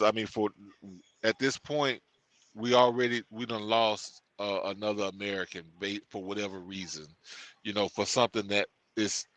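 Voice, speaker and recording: Bright-voiced man talking things through. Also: lowish voice, no room sound, rough recording. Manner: rambling, many restarts and fillers. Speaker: podcast co-host. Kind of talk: casual discussion.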